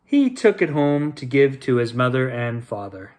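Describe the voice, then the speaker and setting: A man's voice: medium pitch, normal speed. A man hosting a bedtime story, reading to children expressively and playfully. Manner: expressive, with playful repeated sound effects.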